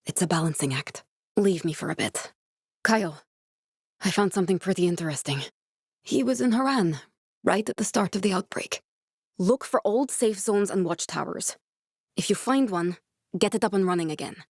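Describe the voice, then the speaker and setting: A woman's voice: on the low side, animated, normal speed, long pauses, no room sound, clean studio sound. A young woman actor playing a determined, guarded scientist in a video game, speaking in an earnest, serious way. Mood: serious, urgent. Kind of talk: dramatic video game dialogue.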